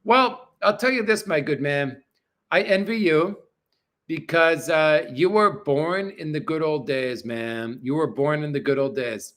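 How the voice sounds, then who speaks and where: A man's voice: fairly high pitch, unhurried, fairly bright tone. A man in his forties hosting a livestream from his apartment, answering viewer comments in a crude, profane, blunt way. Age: 40s. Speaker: livestream host. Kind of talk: casual livestream chat reading viewer comments.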